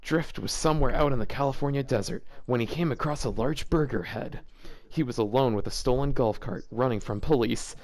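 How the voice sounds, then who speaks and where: A man's voice: mid-range voice, a little mellow, no echo. A man hosting a casual read-aloud of fan fiction, rambling and breaking off into joking asides. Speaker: host reading fanfiction aloud for a video series.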